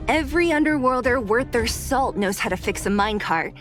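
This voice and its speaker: A woman's voice: on the high side, lively intonation, brisk, lightly acted. A woman voicing a tough, blunt, confident young fighter in a video game, wry and self-assured. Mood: confident, frustrated. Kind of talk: video game cutscene acting. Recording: light music behind the voice.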